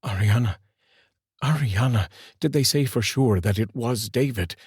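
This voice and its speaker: A male storyteller voicing a stern, steady husband who speaks firmly and plainly. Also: medium pitch, measured pace, breathy edge, no room sound, clean studio sound. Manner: firm and plain-spoken.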